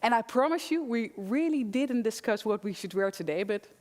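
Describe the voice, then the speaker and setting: A woman's voice: a little high, expressive, moderate pace, a little mellow. A woman hosting an online event, presenting the program and introducing a speaker to the audience. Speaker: event host. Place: event studio. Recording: reverberant studio hall.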